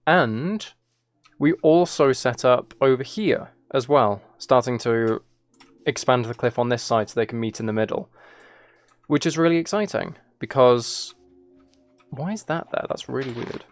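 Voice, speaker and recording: A man streaming a game, chatting casually and laughing often, his voice a little hoarse. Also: medium pitch, lively intonation, unhurried, no echo. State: slightly hoarse from recording. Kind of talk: casual live-stream chat while playing.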